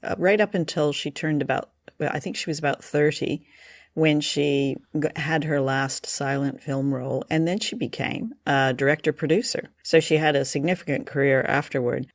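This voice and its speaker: A man's voice: on the high side, steady tone, normal speed, creaky, dry recording. A man hosting a conversational discussion show, talking appreciatively and with enthusiasm. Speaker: podcast host leading discussion. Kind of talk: conversational film and radio discussion.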